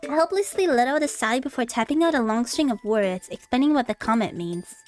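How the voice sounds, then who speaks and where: A woman with a high voice is streaming a visual novel playthrough. She reads the text aloud with big swings in pitch and expressive, dramatic reactions, over faint background music. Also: a little fast. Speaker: streamer, VTuber.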